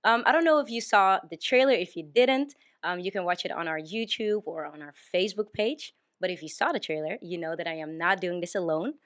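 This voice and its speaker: A woman's voice: on the low side, fairly quick, few pauses. A woman of twenty-eight hosting a casual group talk show, upbeat and enthusiastic. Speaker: main host.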